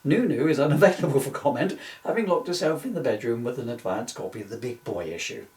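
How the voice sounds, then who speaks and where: A man's voice: medium pitch, expressive, fast talker, noisy background. A man reading from his own book in an informal way, with jokey, self-mocking, rambling asides. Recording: echoey room, distant mic.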